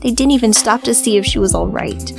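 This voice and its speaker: A woman's voice: fairly high pitch, a little fast, gravelly, over music. A woman voicing a proud, independent, bitter female cat in a visual novel, curt and haughty. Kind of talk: character acting in a visual novel.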